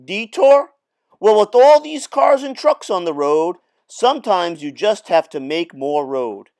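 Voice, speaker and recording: A man's voice: high voice, big pitch swings, measured pace, a little mellow, smooth, voice pushed up, heavily acted. A man voicing a friendly, boastful big truck in a folksy storytelling manner for a children's storybook read-aloud. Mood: excited.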